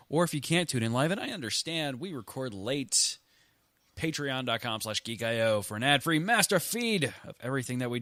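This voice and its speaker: A man hosting a relaxed podcast with a head cold, joking between topics with a lively, swinging pitch. His voice is dry and close, without room sound. He laughs easily and talks in chatty asides. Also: no echo. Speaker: podcast host. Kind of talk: casual podcast chat.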